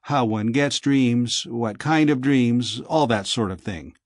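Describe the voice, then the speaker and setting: A man's voice: medium pitch, slow, no echo, studio quality, close to an everyday voice. Man playing a wary, questioning male exile. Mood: wary, inquiring.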